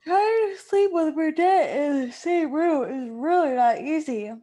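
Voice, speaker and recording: A woman's voice: high voice, expressive, a little slow, dark tone. A woman playing a weary, jealous young dog sitter in a comedic radio play. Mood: resigned. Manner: put-upon, exasperated.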